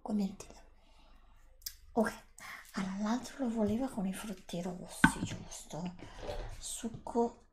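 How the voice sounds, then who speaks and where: A middle-aged woman in a whispered ASMR roleplay, speaking as a polite, attentive bar server who softly repeats herself. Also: medium pitch. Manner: polite, attentive shop-server patter, soothing repetition. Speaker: ASMR performer playing a bubble tea bar server.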